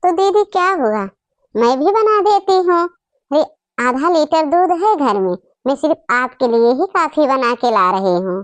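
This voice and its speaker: Woman voicing a high-pitched obedient young daughter-in-law. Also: animated, unhurried, no room sound. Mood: obliging. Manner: polite, deferential. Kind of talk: Hindi family comedy cartoon acting.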